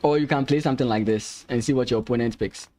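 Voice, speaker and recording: A man's voice: mid-range voice, quick pace, a little mellow. Man thinking aloud over a chess stream. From Ghana. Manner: thinks aloud, hesitant restarts, laughs easily. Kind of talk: live chess commentary with chat.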